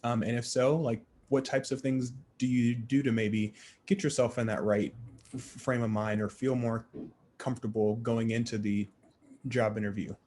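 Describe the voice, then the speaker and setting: A man moderating a panel discussion in a friendly, encouraging and measured way. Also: mid-range voice, little pitch movement, darkish tone.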